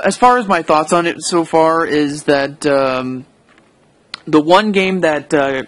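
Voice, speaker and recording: An American man talks through an unscripted personal audio log in a slightly high voice. His delivery is slow and hesitant, wandering and doubling back to fix his own phrasing. The sound is dry, with very little room echo. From United States. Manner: hesitant, rambling, self-correcting. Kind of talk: casual unscripted monologue.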